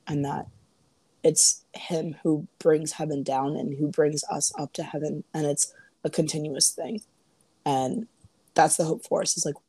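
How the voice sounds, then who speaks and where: Young female co-host, voice worn raspy and husky, low and dark, close dry mic, laughs easily. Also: no room sound, low-quality recording. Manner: laughs often, relaxed and chatty. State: hoarse, voice partly lost. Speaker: podcast co-host. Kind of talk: casual faith podcast chat. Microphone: home podcast mic.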